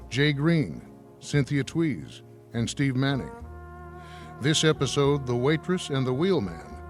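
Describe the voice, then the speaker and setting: A man making scripted announcements for a radio drama. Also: mid-range voice.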